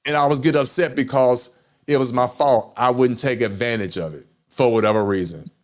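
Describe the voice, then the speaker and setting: A man's voice: medium pitch, fairly quick. A man hosting a casual live podcast chat, talking with a stutter and repeating his words. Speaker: podcast host.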